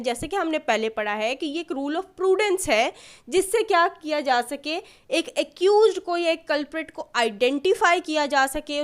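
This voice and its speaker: Woman, Pakistani accent, high-pitched, didactic lecture delivery, rephrases and repeats points. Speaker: law lecturer. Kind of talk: explanatory lecture mixing Urdu and English terms.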